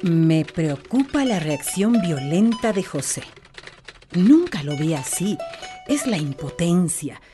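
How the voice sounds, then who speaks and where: Firm, low-voiced Bolivian policewoman. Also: very animated, slow, music in the background. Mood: concerned, reflective. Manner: earnest, authoritative. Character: firm, concerned community policewoman, a protective corporal. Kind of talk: radio drama acting.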